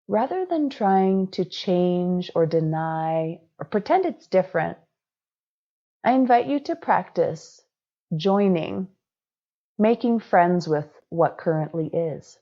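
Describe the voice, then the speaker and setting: Female meditation guide, unhurried and measured, dark mellow tone, instructive delivery. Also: lowish voice, lo-fi sound.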